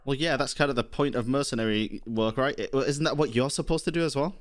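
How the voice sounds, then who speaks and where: A man's voice: mid-range voice, fast talker. A man doing casual live-stream gaming commentary, chatting to viewers and tossing out jokey, sarcastic asides. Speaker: streamer playing a video game.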